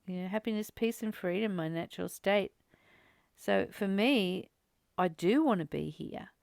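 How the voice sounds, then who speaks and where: A woman hosts a casual spiritual discussion in a medium-pitched voice with plenty of gravelly creak. She talks conversationally and repeats phrases for emphasis, with very little room sound around her. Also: normal speed, a little mellow, no room sound, clean studio sound. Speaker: spiritual study host.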